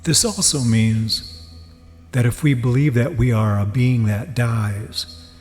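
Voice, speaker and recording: A man giving a guided meditation teaching in a measured, deliberate way, with pauses between phrases. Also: medium pitch. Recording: long reverb, soft music behind. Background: music.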